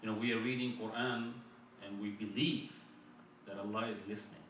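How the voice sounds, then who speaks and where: A man's voice: fairly low pitch, steady tone, a bit bright, a little hiss or hum. Man preaching in a very echoey hall. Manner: earnest, repeats and restarts phrases, recites Arabic verses quickly. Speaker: speaker at an Islamic conference. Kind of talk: sermon. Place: mosque hall. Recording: very echoey hall, speaker far from mic.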